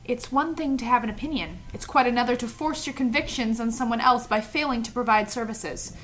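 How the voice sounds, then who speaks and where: Woman as strict schoolmistress type, self-righteous, lecturing, slightly high pitch, audio drama read-through. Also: fairly quick, slight background noise, natural voice, poor audio. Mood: indignant. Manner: stern, lecturing. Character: strict, self-righteous female trainer of children. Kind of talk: cast table reading of audio drama.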